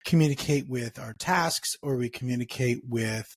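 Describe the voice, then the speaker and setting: Husky-voiced male podcast host. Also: medium pitch, moderate pace, dry recording. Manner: rambling, restarts sentences, uses analogies. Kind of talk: casual interview chat.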